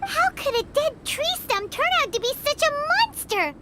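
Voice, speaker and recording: A woman voicing a tiny, cheeky, excitable girl companion with a squeaky childlike voice in a video game. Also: normal speed, heavy background noise. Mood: startled, indignant. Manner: speaks of self in third person, exclaiming.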